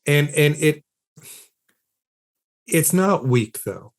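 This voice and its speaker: A man's voice: on the high side, animated, extremely slow. Man, emphatic conversational explainer. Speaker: interview guest, philosopher of science and author.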